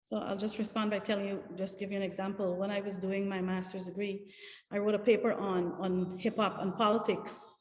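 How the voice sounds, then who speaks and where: A woman's voice: medium pitch, level tone, brisk, darkish tone. A woman from Guyana with a Guyanese accent, telling stories earnestly and emphatically as a panelist on a video call. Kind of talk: panel discussion answer over video call. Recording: echoey room with long reverb.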